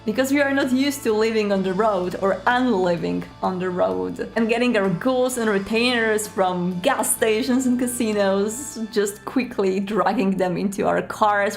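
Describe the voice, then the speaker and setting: A woman's voice: mid-range voice, vocal fry. A woman hosting a casual explanatory vlog, talking candidly and informally with joking asides. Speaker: video host and tabletop roleplayer.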